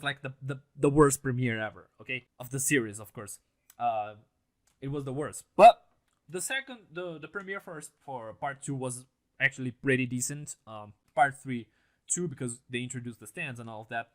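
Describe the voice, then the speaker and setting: Excitable young man, bright tense voice. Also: mid-range voice, fairly quick. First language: Portuguese. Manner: excitable, swears often, laughs a lot, restarts sentences. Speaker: anime reaction channel host. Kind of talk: casual reaction commentary to camera. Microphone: mic on a stand, set a bit farther than usual.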